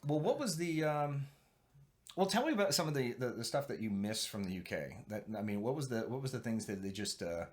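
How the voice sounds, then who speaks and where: A man's voice: medium pitch, very animated, a little fast. Rambling American man hosting a chat. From United States. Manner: rambling, lots of you-knows. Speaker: host. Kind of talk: casual chat.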